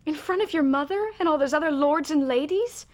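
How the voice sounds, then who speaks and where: Actress as earnest young bride, higher-pitched. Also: female voice, a little fast, close to an everyday voice. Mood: contrite, pleading. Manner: earnest, heartfelt. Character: earnest, contrite young American bride, frank and warm-hearted. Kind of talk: period radio drama acting.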